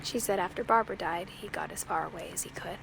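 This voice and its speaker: A young woman with an American accent playing a pensive narrator in a video game, quietly recounting family secrets in a measured, reflective way. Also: on the low side, creaky, background noise.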